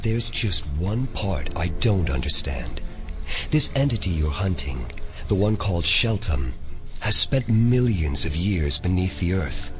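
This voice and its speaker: Low-voiced, coldly menacing telepath. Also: male voice, big pitch swings, faint music behind. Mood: quietly goading. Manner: measured, cryptic, condescending. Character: calm, menacing male telepath, coldly probing. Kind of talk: immersive audio drama acting.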